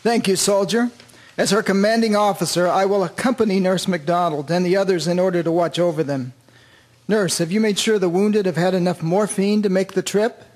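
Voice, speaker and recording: An actor in a radio drama playing a calm, kindly army doctor and commanding officer with a measured, reassuring manner. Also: male voice, high-pitched.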